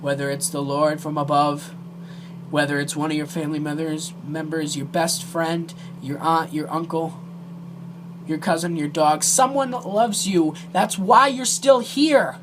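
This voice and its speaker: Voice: medium pitch, steady tone, unhurried. Earnest vlogger, noticeably noisy recording. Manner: earnest, emotional, hesitant. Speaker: amateur video creator. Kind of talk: earnest direct-to-viewer vlog talk.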